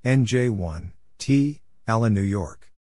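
A man reading scripted practice material as a narrator, measured and spelling out letters and numbers one at a time. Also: fairly low pitch, expressive, a little mellow, no echo, clean studio sound. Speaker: narrator of Morse code practice. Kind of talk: scripted readout of callsigns and exchanges.

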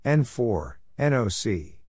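A man reading out scripted radio exchanges for Morse code practice, spelling letters and numbers one by one in a measured, deliberate way. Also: mid-range voice, very animated, darkish tone, husky, dry recording, studio quality.

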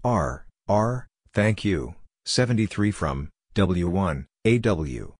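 Low-pitched, slow-speaking man. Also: expressive, slightly rough, dry recording, clean studio sound. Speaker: narrator of a Morse code phrase drill. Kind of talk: scripted read-out of short radio phrases.

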